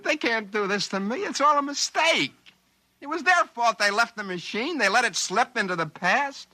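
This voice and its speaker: A flustered, whining American everyman from an old radio play. The voice is high and tense, swinging widely as he pleads and protests. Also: lively intonation, moderate pace, pushed and bright, no room sound, full character voice. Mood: panicked protest. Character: bewildered, protesting everyman debtor, easily panicked. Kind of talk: 1950s American radio drama acting. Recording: vintage 1950s radio recording.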